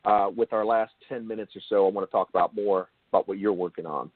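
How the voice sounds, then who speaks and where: A man's voice: lowish voice, fairly even intonation, fast, breathy edge, no room sound. A man hosting a casual interview, often repeating and rephrasing himself as he works toward his point. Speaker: show host interviewer. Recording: narrow phone-like call line.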